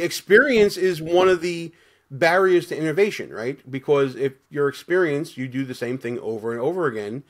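A middle-aged man talking emphatically in a conversational discussion on a video call from a small room. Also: a little high. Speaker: lawyer, podcast panelist. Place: small home office, indoors, video call.

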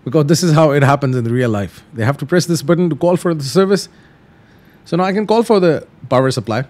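A man's voice: fairly high pitch, animated, fast talker, a little mellow. A man hosting a tutorial, explaining things casually step by step and repeating simple instructions. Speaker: host of flight simulator tutorials.

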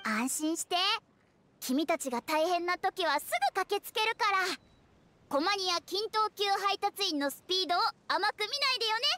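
A woman's voice: very high voice, animated, moderate pace, sharp tone, exaggerated voice. A woman voicing a young, confident and inquisitive cat spirit courier in a game, friendly and girlish, with music behind. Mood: reassuring. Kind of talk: Japanese video game voice acting.

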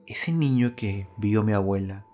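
A man's voice: lowish voice, unhurried, heavy background noise. A man in his twenties hosting and narrating scripted spooky anecdotes in a theatrical way. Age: 20s. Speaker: host, storyteller.

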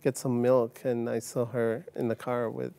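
A man from Uganda tells his story on a TV studio set, halting often with fillers and restarts. His voice is mellow and dark. It is picked up dry and close by a lapel mic. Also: medium pitch, little pitch movement, vocal fry, smooth, no echo. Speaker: guest. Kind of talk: telling his life story in a TV interview.

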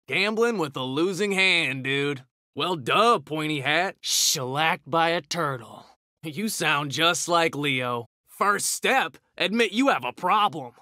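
A man's voice: on the high side, expressive, slow pace, bright, forceful tone, no echo, studio quality, exaggerated voice. A man voicing a cocky, hot-tempered young mutant ninja turtle, trading brash, slangy taunts in a fighting video game. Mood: cocky, taunting. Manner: brash, slangy trash talk.

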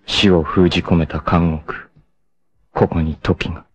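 An actor playing a proud, cool martial arts warrior in a 1980s anime, with a sardonic, dramatic delivery. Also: male voice, low-pitched, level tone, unhurried. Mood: grave, ominous. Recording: noisy 1980s anime soundtrack.

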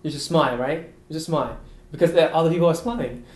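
A young man, an international student, speaking English thoughtfully in an interview with soft laughs. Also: fast. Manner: thoughtful, gestures, laughs softly. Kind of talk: casual interview. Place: college room by windows. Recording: echoey room with faint rumble.

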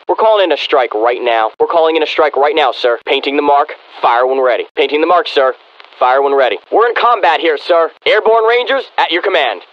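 An actor playing a confident American special forces soldier in a military video game, with terse, self-assured delivery. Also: male voice, a little high, natural voice. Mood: urgent, strained. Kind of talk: military game unit acknowledgements. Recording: slightly muffled radio-style game audio.

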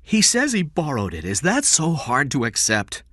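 A man's voice: fairly high pitch, wildly swooping pitch, normal speed, a bit bright, no echo, studio quality, pitched above the performer's own voice, heavily acted. A man voicing a resentful, yearning kidnapper in an audiobook thriller, insistent and embittered. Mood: bitter, insistent. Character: resentful, yearning male kidnapper, poor and determined.

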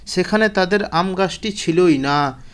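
A man's voice: sits high, unhurried. A man narrating a scripted children's bedtime story as the storyteller of a cartoon.

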